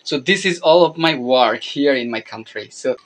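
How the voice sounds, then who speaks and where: A man's voice: medium pitch, lively intonation, normal speed. Young Bangladeshi man, Bengali native, teasing video-call chat, easy laughter.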